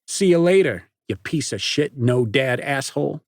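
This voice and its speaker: A jeering teenage bully with a cold, hard edge, as a man puts him on, pitched well above his own low voice and pushed loud. The sound is dry and close. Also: wildly swooping pitch, a little slow, husky, no echo, full character voice. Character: cruel taunting teenage boy, jeering bully.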